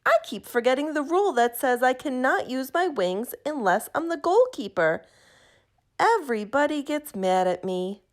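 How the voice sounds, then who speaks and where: A woman's voice: high voice, very animated, normal speed. A woman voicing a flustered, forgetful young female goose in a storybook, with a plaintive, confessing manner.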